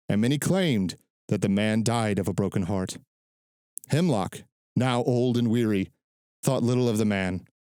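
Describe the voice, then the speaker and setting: A man reading literary fiction as a narrator, in a measured, dramatic storytelling delivery close to the microphone. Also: mid-range voice, a little mellow, no echo.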